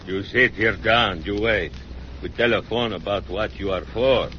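A man's voice: fairly low pitch, unhurried, slight background noise, lightly acted. A man playing a bullying Mexican policeman, heavy accent. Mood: suspicious. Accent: Mexican Spanish. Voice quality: broken English. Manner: interrogating. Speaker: police official. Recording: dull lo-fi radio drama.

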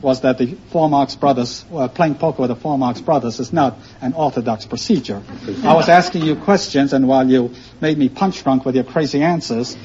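A man's voice: mid-range voice, brisk, darkish tone. A man, an articulate, earnest quiz show contestant telling stories on an old broadcast recording. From New York City, born in Austria. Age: 50s. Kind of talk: conversational storytelling in an interview. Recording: muffled, noisy vintage broadcast recording.

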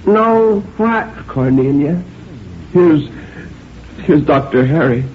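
A man's voice: a little high, animated, slow pace, pitched above the performer's own voice. Man voicing a querulous old woman, muffled recording. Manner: querulous, rambling. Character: stubborn, cantankerous, dying old woman. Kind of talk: dramatic short story reading. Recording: muffled, noisy audio.

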